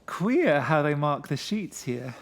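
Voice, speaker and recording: A man's voice: a little high, sing-song, slow pace, heavily acted. A man voicing a nervous, childlike, frightened man who stammers and pleads in a gothic horror story. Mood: anxious. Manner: pleading, stammering, repeats himself. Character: terrified nervous man, childlike in his fear of the dark.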